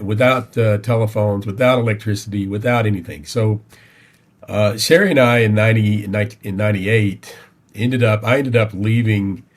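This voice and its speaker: A middle-aged man from Tennessee with a Southern American accent, telling personal stories as a podcast guest in a halting, folksy way. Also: on the low side. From Tennessee, United States. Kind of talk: conversational personal storytelling.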